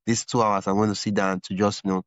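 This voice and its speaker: A low-voiced man hosts a podcast conversation, working through his thoughts in long, winding follow-up questions. His audio is slightly dull and lo-fi, with a dry, close sound. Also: little pitch movement, quick pace, no echo. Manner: thinks aloud at length, rambling follow-ups. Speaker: podcast host. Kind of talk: conversational interview.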